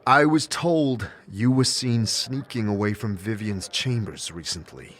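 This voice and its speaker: A man narrating an audio drama in the second person, calm and measured. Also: fairly low pitch. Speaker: narrator and lead.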